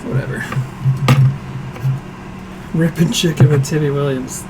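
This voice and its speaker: A hoarse man hosting a casual cooking livestream from his home kitchen, chatty and self-deprecating, answering viewers aloud as he works. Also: a little high, fairly even intonation, measured pace, background noise. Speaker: streaming cooking show host.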